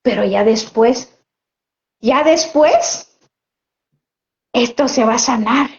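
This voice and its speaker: Slow, earnest Chatino-speaking woman preacher. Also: on the high side. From Oaxaca, Mexico. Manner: unhurried, earnest, chats with viewers between preaching. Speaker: lay preacher live-streaming. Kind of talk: live-stream Bible reflection reading viewer comments. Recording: muffled live-stream audio.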